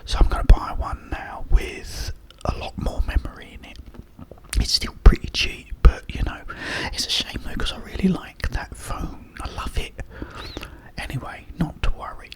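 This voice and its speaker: A man with a Cockney accent who whispers softly the whole time, chatting in a rambling way as an ASMR host and telling a joke. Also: moderate pace, poor audio. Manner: soft unvoiced whisper throughout, rambling and chatty.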